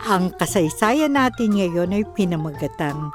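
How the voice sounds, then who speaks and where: A woman narrates a letter as host of a radio drama. Her delivery is slow and very melodic in a mid-pitched voice, with soft music in the background. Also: lively intonation. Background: faint background music.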